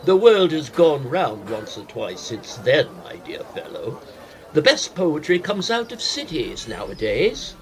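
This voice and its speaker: An actor playing a well-bred, wry gentleman traveller in a period audio drama, speaking formally and articulately. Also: fairly high pitch, very animated, unhurried. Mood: teasing.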